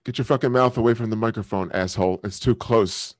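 A man hosting a live stream, heckling strangers in random video chats with loud, crude, swearing banter. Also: lowish voice, fast. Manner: loud, taunting, swearing often. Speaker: streamer host.

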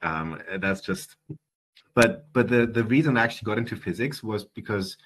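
A man's voice: lowish voice, animated, normal speed, fairly bright tone. A young man in his twenties with a German accent, a guest chatting on a video call and hesitating with many fillers. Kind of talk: casual interview answers. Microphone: headset on video call.

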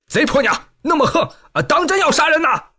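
A man voicing a brash, hot-tempered young swordsman who shouts and blusters in a storytelling reading. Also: very high voice, big pitch swings, a little fast, voice pushed up, full character voice. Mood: angry. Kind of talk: storytelling audiobook.

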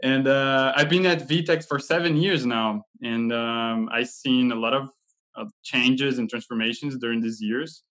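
A man's voice: medium pitch, measured pace. Brazil guy in his 30s, chill, headset video call chat. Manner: relaxed, with fillers and light laughs. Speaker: guest, head of content. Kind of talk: casual video-call interview. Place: home room.

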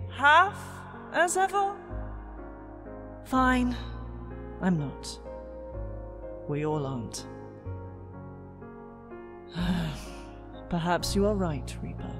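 A woman with an English accent playing a proud, weary storyteller and reluctant regent, theatrical and hesitant, in a fantasy audio drama. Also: medium pitch. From England. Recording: reverberant hall with music.

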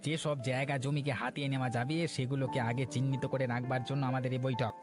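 Cartoon casting: male actor as a conniving, blunt village accomplice, medium pitch, clear music bed. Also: level tone, fast talker, close to an everyday voice. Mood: greedy, conniving. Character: greedy, blunt village land-grabbing accomplice. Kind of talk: Bengali cartoon voice acting.

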